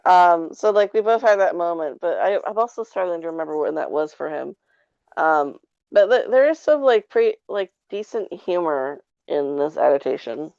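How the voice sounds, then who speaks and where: Low-voiced woman chatting, slightly muffled audio. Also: animated, moderate pace, darkish tone, no room sound. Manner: hedging, self-described nerdy enthusiast. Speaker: podcast co-host reviewing a show. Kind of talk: casual chat, film and stage review.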